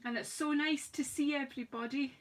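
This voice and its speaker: A woman with a slightly croaky voice, chatting and rambling as she hosts a live craft demonstration. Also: high-pitched, fairly even intonation, fairly quick. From United Kingdom. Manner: chatty, rambling, self-deprecating, talks while working. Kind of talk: live-stream craft demo chat.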